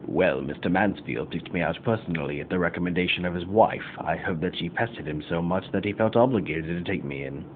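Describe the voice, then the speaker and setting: Deferential, pleading manservant, played by a man: lowish voice, radio-play acting, narrow phone-like recording. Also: brisk, few pauses, close to an everyday voice. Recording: phone-like thin sound, faint noise.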